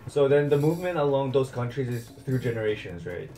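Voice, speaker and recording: A man in his twenties hosts a casual interview. His mid-pitched voice sounds roomy and set back from the microphone, with light music underneath. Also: lively intonation, fast, dark tone, distant mic. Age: 20s. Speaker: host interviewing friends. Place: small indoor room.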